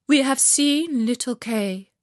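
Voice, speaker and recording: Lady reading as cooing wood pigeons, high and kind of slow, storybook. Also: no echo, clean studio sound. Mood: mournful.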